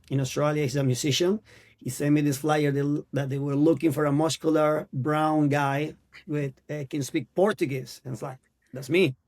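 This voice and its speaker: A man in his thirties from Venezuela with a Venezuelan Spanish accent, Spanish as his first language, telling his story as an actor guest in an earnest, halting way. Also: a little high, a little mellow. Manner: earnest, halting non-native English. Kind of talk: casual interview.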